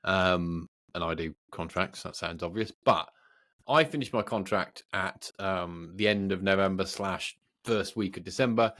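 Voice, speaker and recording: A man's voice: deep voice, unhurried, a bit bright. A middle-aged British man co-hosting a podcast, chatting casually in a rambling, self-deprecating way. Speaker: podcast co-host. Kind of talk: casual chat.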